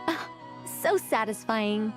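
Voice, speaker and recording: A playful fairy guide from an anime game. She is fairly high-pitched, chatty and theatrical, with polite self-satisfaction. Music is clearly heard behind her. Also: lively intonation, slow. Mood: pleased.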